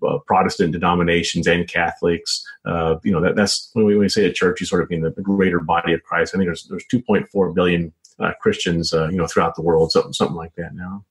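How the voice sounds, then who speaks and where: An American man answering as an interview guest in a conversational way, halting, often repeating words and saying "you know". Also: low voice. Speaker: interview guest, author and journalist.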